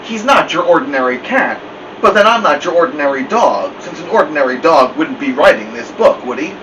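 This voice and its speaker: Animated male storybook narrator, distant mic. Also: a little high, a little fast. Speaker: storytime host reading aloud. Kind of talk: expressive children's audiobook-style narration. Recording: dull, distant mic, steady air-conditioning-like noise.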